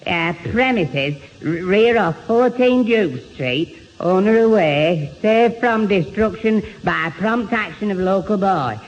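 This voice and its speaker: A man's voice: high voice, animated, slow, few pauses. An actor playing a daft, easily flustered Northern English lad in an old radio sitcom, stammering over his words. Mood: doubtful, indignant. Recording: dull, reverberant, noisy old radio recording.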